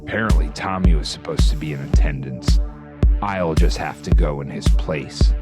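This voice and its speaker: Very deep, grim vigilante narrator. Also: male voice, moderate pace. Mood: cold, resolute. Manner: deadpan, menacing, terse. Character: grim, vengeful male vigilante narrator, hard-boiled and sardonic. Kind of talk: hard-boiled noir game cutscene narration. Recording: music under the voice.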